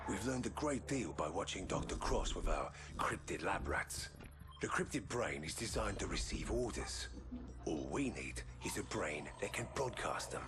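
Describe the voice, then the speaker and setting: Deep, ominous mastermind. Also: male voice. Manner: measured, ominous, dropping to near whisper. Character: menacing, calculating male mastermind, ex-bioweapons program, grave storyteller. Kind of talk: dramatic scripted narration. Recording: loud music behind the voice. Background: cinematic game music.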